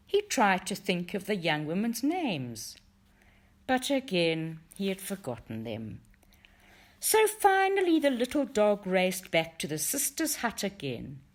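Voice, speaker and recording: A woman reading a folktale aloud as expressive storybook narration, in a measured, dramatic way. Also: mid-range voice. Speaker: reader of a folktale for a book study group.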